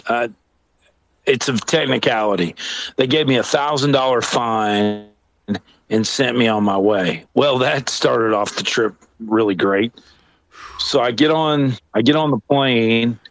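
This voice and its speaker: A middle-aged man spins a comedic story at an unhurried, drawn-out pace. He breaks into voices for the people in his tale and laughs along as he goes. The sound is dry and close. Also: mid-range voice, dry recording. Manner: animated, acts out other people's lines, laughs often. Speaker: storyteller guest.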